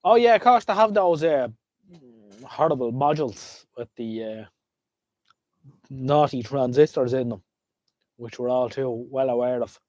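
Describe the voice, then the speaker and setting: A man's voice: medium pitch, expressive, measured pace, pauses often, a little mellow, no echo, poor audio. An Irish man with a slight head cold, sniffling as he chats casually and rambles to viewers while hosting a live stream. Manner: chatty, rambling, talks to viewers. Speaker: livestream host. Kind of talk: casual livestream chat. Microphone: desk microphone.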